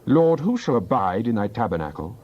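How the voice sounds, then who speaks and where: A man playing a devout psalmist in a dramatized Bible reading, praying in an earnest, deliberate, declamatory way. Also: on the low side, sing-song, dull audio. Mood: solemn, declamatory. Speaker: scripture reader.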